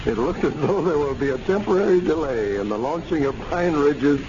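A man announcing in a measured, formal 1930s radio style. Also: fairly high pitch, expressive, darkish tone. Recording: muffled, hissy 1930s radio transcription.

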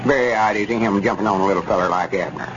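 A man's voice: fairly low pitch, fast, close to an everyday voice. A man playing a gullible, stubborn country storekeeper in a 1930s radio comedy, with a rural Arkansas accent and an earnest, rustic drawl. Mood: indignant, riled. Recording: muffled, noisy 1930s radio recording.

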